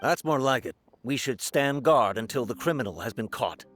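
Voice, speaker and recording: A dutiful guard in a game, skeptical in tone. His voice sits at a medium pitch over faint music. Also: animated, normal speed, fairly bright tone. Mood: reproving. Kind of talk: video game voice acting.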